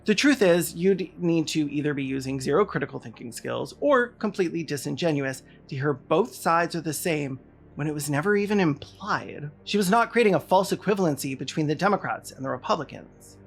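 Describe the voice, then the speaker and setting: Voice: medium pitch, animated, brisk, vocal fry. A video essayist delivering scripted political commentary in an emphatic, sardonic way.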